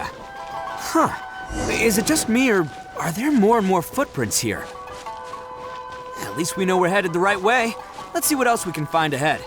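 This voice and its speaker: An actor playing a loud, boastful young male oni gang boss in a video game, laughing often. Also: fairly high pitch. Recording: game audio with music behind.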